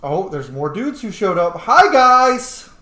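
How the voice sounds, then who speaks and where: A man with a slightly high voice chats through a video game as its host. His delivery swings widely in pitch, excitable and casually sweary, and the sound is noticeably roomy. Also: slow pace. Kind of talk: casual let's play commentary.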